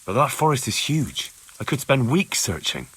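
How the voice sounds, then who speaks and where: Determined young medieval investigator, slightly husky. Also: male voice, mid-range voice, big pitch swings, normal speed, bright, forceful tone, exaggerated voice. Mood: searching, earnest. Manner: insistent, direct questioning. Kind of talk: video game cutscene dialogue.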